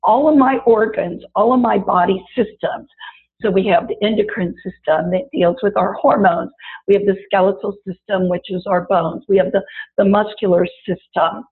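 A woman with a raspy voice after an illness, teaching a wellness lesson and chatting directly to her listeners. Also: mid-range voice, steady tone, normal speed. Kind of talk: guided body-awareness talk. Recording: tinny phone-like audio.

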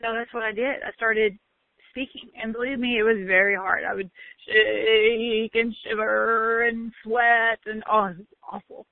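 A woman's voice: on the high side, flat delivery, gravelly, dry recording. Emphatic woman on a tinny line. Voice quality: loud, bellowing voice. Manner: emphatic, drawn-out stresses. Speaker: motivational speaker. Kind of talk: motivational talk. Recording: tinny phone-like line, dry.

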